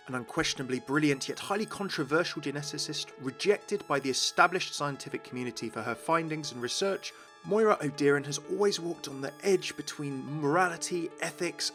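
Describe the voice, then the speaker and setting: Bright-voiced man hosting a gaming video. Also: on the high side. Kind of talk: enthusiastic explainer narration. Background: music.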